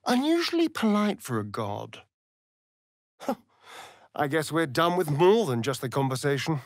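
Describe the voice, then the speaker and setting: An actor playing a sardonic, flirtatious vampire elf rogue in a video game, with an arch, droll, theatrical delivery. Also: male voice, fairly high pitch, big pitch swings, moderate pace, no room sound, clean studio sound. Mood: arch, teasing. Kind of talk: video game character voice lines.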